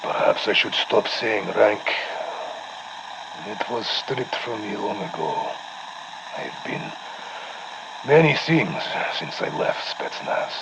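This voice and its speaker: An actor playing a weary older Russian ex-special forces soldier with a Russian accent, recording a grave, reflective audio log for a game. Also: male voice, fairly low pitch, expressive, takes it slowly, creaky, full character voice. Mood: weary, brooding. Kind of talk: scripted audio-log monologue. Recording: noisy radio audio log.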